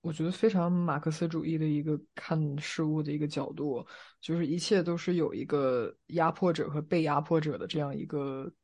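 A woman's voice: deep voice, steady tone, brisk, a little mellow. A woman co-hosting a podcast, talking casually and explaining her points step by step. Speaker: podcast co-host. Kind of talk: casual discussion.

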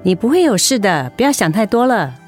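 A woman's voice: medium pitch, a little fast, faint music behind. A woman playing a caring, attentive confidante in a radio drama. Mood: concerned. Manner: expressive, caring. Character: sympathetic, attentive woman, an interviewer and fellow patient.

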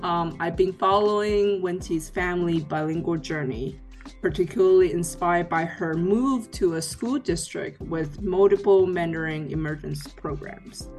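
A woman host opening a podcast episode with a friendly, measured welcome. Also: fairly low pitch, a little mellow, soft background music, low-quality recording.

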